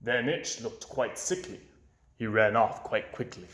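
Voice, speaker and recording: A man reading a rhyming children's book aloud with an expressive, sing-song delivery, in an echoey room. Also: medium pitch, a little slow, a little hiss or hum. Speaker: storyteller.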